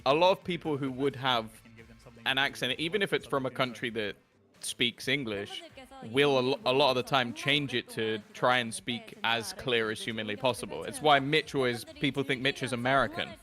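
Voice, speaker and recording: A man's voice: mid-range voice, normal speed, bright, forceful tone, soft background music. A man from South Africa who hosts a watch-party stream, rambling and swearing freely in casual chat.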